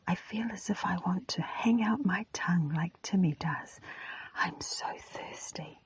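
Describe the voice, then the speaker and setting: A woman voicing a breezy, teasing schoolboy in a storybook, in a breathy, brisk whisper. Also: fairly low pitch, exaggerated voice. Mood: eager. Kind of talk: whispered storybook character reading.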